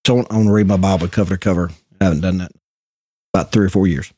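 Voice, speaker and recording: A man with a slightly low voice trades jokes with his co-hosts in a loose, laughing podcast chat. The sound is close and dry, with no room around it. He talks in easy, casual bursts. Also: steady tone, very fast, warm tone, slightly rough, no echo. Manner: joking, laughs often. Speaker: podcast co-host. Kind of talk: casual banter.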